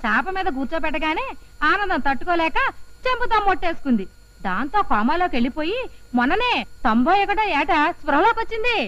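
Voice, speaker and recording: A brisk, lively bride's relative. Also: female voice, very high voice, full character voice. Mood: earnest. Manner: brisk, earnest explaining. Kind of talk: film comedy acting.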